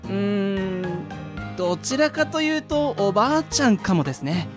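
Brief: female actor for an earnest, courteous young-colleague hand strap, mid-pitched, radio comedy with music behind. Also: sing-song, normal speed, close to an everyday voice. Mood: flustered storytelling. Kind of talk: comic amateur radio drama acting.

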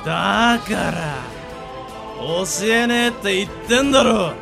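Man playing creaky, slow, menacing bandit sorcerer. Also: high voice, exaggerated voice. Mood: cool menace. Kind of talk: amateur radio drama acting. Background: music.

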